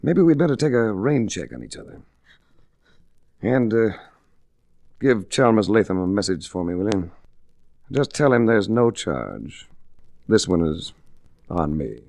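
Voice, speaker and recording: Hard-boiled radio investigator: lowish pitch with wide swings, cool, blunt, clipped, vintage 1940s recording. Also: male voice, measured pace, creaky, long pauses, no echo, heavily acted. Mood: weary, resigned. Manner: clipped, deadpan, terse. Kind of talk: 1940s radio detective drama acting.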